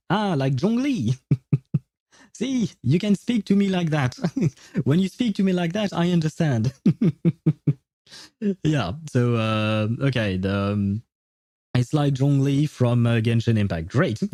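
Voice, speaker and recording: A man's voice: medium pitch, warm tone, no room sound. A man streamer chatting casually with viewers in a rambling, hesitant way. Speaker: livestreamer. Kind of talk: casual livestream chat, replying to viewers.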